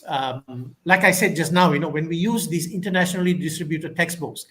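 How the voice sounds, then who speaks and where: A man's voice: on the high side, a little fast, fairly bright tone. A man with a Malaysian accent answering conference questions in a thoughtful way, full of fillers and restarts. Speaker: conference speaker. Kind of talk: academic Q&A answer.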